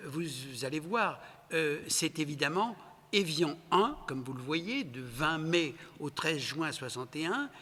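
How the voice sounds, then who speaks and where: A man with a fairly high speaking voice guides a discussion, laying out points in a measured, explanatory way. He uses a few fillers. The room gives his voice a long reverb. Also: bright, forceful tone. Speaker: moderator. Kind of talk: guiding a panel discussion.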